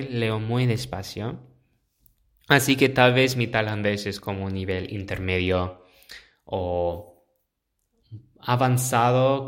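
Slow, hesitant young man teaching. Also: lowish voice. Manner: slow, hesitant, pauses to search for words. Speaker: podcast host. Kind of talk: solo podcast explaining to learners.

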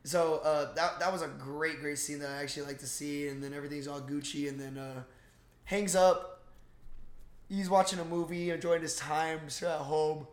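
A man co-hosting a casual podcast, retelling a film in slangy, swear-heavy, animated talk. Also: on the high side, moderate pace. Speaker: podcast co-host. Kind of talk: casual movie recap chat. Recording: roomy, echoey sound.

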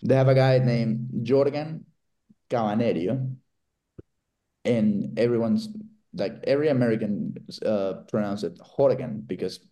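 Venezuelan guy on a podcast, mellow warm voice, acts out quotes when he tells a story. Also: lowish voice, a little slow, low-quality recording. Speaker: guest, baseball writer. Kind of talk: casual podcast chat.

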